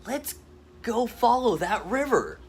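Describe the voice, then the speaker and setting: Uncle Gabe, a man doing a gentle uncle. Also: very high-pitched, big pitch swings, slow, faint music behind, voice pushed up, exaggerated voice. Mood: coaxing. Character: kind, playful grown uncle.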